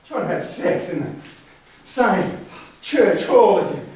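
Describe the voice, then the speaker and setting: A man's voice: high-pitched, expressive, slow pace, a little mellow. A man acting in a stage play as a wounded, defiant grown son standing up to his bullying brother. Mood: bitter, accusing. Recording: very echoey hall, distant mic.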